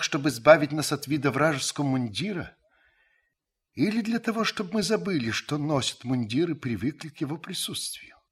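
Observant uncle recalling wartime in radio play: husky voice, expressive melody, measured literary storytelling. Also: male voice, fairly high pitch. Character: reflective, observant French uncle narrating his wartime memories. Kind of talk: radio drama narration.

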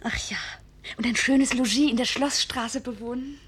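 Casting: lovestruck period girl, radio drama, high, breathy, excitable. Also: moderate pace. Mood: wistful. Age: young adult. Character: lovestruck, wistful, girlish young maiden.

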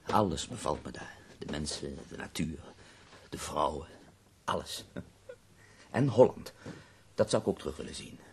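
A man's voice: a bass voice, animated, moderate pace, gravelly, long pauses, a little hiss or hum, heavily acted. An actor playing a wry, self-mocking, bedridden man in pain in a Dutch radio drama. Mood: wistful.

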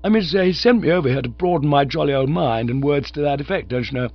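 A man voicing a silly, excitable young upper-class English gentleman in a storybook reading, plummy and wordy. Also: a little high, fast talker, lo-fi sound, voice pushed up. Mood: breezy, self-satisfied. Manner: plummy, indignant or breezy, wordy.

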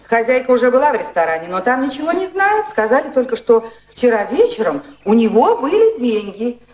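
An actress playing a talkative, anxious, deferential maid in a radio drama. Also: female voice, fairly high pitch, very animated, fairly quick, a little mellow. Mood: gossipy. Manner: deferential, chatty.

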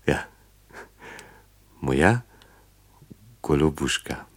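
A man's voice: expressive, drawn-out words, no room sound, full character voice. An actor playing a guarded, torn but soothing male lover in a radio play, speaking tersely and reassuringly. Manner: terse, low-key, reassuring. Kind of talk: German radio play acting.

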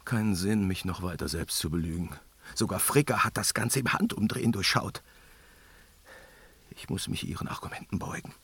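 A man's voice: low voice, moderate pace, fairly bright tone, slightly rough, dry recording, full character voice. An actor playing a weary, tormented, commanding father god in a fantasy radio drama, solemn and brooding, slipping into whispers. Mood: brooding, defeated. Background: dramatic music.

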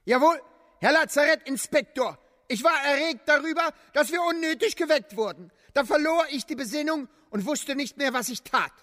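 A man's voice: very high voice, moderate pace, bright, forceful tone. An actor in a German radio drama playing a cheeky, sly reservist soldier speaking from his hospital bed. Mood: mock-dutiful. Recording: reverberant hall.

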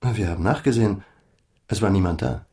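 An actor playing a courteous, matter-of-fact hotel employee in a German radio crime drama, speaking precisely. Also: male voice, sits low, fairly quick, dark tone. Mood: polite, matter-of-fact.

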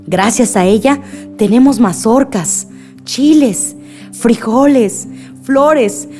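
An actress playing an earnest, grieving village woman who makes measured appeals for peace in a radio drama. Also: on the high side, a little mellow. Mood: hopeful, urging. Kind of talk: radio drama acting, assembly speech. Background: music.

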